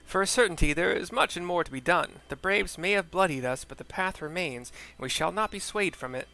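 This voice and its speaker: A man's voice: sits high, brisk, creaky, bright, forceful tone, voice pushed up, full character voice, rough recording. A man voicing a hearty, resolute fantasy game adventurer in bold, declamatory, old-fashioned phrasing.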